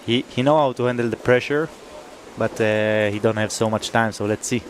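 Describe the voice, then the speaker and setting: Man, flat monotone, hesitant non-native English, expert co-commentator, faint outdoor noise. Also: on the low side, moderate pace.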